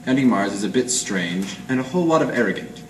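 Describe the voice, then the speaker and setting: A man's voice: fairly low pitch, animated, quick pace, close to an everyday voice. A man playing a world-weary, cynical small-time detective in 1940s radio noir style, with wry, clipped hard-boiled slang. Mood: businesslike. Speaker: amateur stage radio-drama actor. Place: live performance in an art gallery. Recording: distant mic in echoey noisy room.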